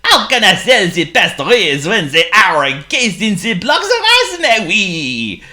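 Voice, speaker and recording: A grumpy French-Canadian baker. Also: male voice, high voice, wildly swooping pitch, a little slow, voice pushed up, heavily acted. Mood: grumbling. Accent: French-Canadian (put on). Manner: exaggerated, loud, grumbling.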